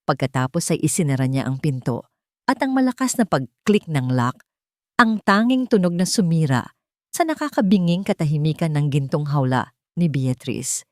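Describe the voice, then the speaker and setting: A woman's voice: low-pitched, very animated, moderate pace, a little mellow, no echo, clean studio sound. A woman storyteller reading a scripted, dramatic romance narration.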